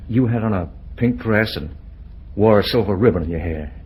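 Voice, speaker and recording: A man playing a bashful, lovestruck young man in an old-time radio drama, with an earnest, halting delivery. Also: on the low side, slightly rough, phone-quality sound. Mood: tender, yearning. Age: young adult. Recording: noisy vintage radio drama recording.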